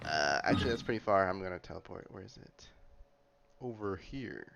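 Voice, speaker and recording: A man's voice: sits low, lively intonation, fairly quick, creaky, a bit bright. A man giving casual, offhand gameplay commentary, often muttering to himself and teasing as he goes. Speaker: gaming content creator. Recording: game music behind the voice.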